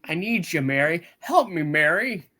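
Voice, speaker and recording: A woman's voice: deep voice, animated, moderate pace, bright, forceful tone, exaggerated voice. A woman playing a distraught, desperate family man in a hammed-up radio play reading. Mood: desperate pleading.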